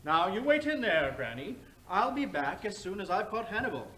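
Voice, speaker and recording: Coaxing, hearty grandson played by a man, high, bright, lively, radio play. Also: normal speed, poor audio. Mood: soothing. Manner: coaxing, patronising. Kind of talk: old-time radio drama acting.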